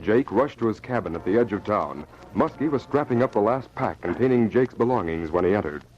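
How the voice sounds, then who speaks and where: A man's voice: on the low side, animated, fairly quick, over music, close to an everyday voice. A man delivering scripted narration for an old-time radio drama. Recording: muffled old radio recording.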